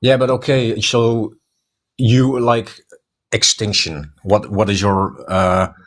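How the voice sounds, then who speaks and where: A man's voice: fairly low pitch, fairly even intonation, slow pace. A man who is a native Dutch speaker, talking in English with a Dutch accent as a guest, hesitant and full of fillers.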